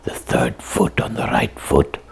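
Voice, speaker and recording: A performer voicing a boastful, bumbling puppet doctor detective in a comic puppet show, pompous and very raspy. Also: measured pace, whispered, close to an everyday voice. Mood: self-important. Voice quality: very raspy, gravelly, husky.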